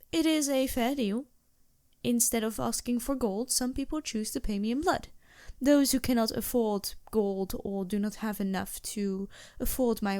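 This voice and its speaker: A woman's voice: on the high side, no room sound, studio quality. A woman playing a polite, reassuring vampire merchant in a fantasy ASMR roleplay, speaking formally with small hesitant asides. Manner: genteel, formal phrasing with hesitant asides and soft chuckles.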